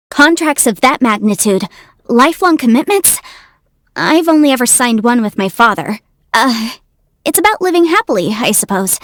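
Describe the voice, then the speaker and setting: A woman voicing a young, quick-witted half-immortal legal adviser in a video game, articulate, self-assured and playful. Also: fairly high pitch, dry recording, clean studio sound. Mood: confident, matter-of-fact, then fond, reflective. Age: young adult. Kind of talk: video game character voice lines.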